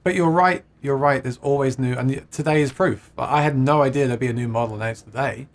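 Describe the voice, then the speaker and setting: A man's voice: mid-range voice, fast. Man, casual livestream co-host, talkative, laughs a lot. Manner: chatty, reads viewer comments aloud, laughs often.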